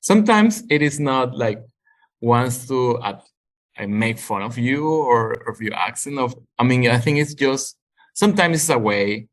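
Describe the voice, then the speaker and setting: A man in a group discussion on a video call, sharing his view haltingly, with many restarts as he searches for words. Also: medium pitch, expressive. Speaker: program participant.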